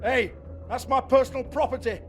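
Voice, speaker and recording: A man's voice: very high voice, moderate pace. A man voicing a foul-mouthed, mocking British club owner in a video game, sleazy and swaggering. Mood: threatening. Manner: sarcastic, taunting, swaggering. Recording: music under the voice.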